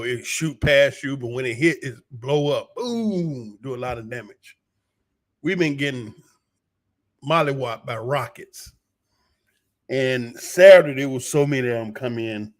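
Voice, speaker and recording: An American man with a hoarse voice, talking casually to his viewers in a halting, unhurried, folksy way. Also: medium pitch. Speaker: vlogger giving a personal update. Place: small room at home, a bomb shelter used as studio.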